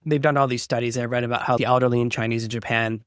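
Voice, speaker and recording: A man's voice: mid-range voice, level tone, rapid-fire, fairly bright tone, clear, resonant tone, no room sound, studio quality. A man in his forties hosting a podcast, chatting casually and making self-deprecating jokes. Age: 40s. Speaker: podcast host. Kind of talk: casual interview chat.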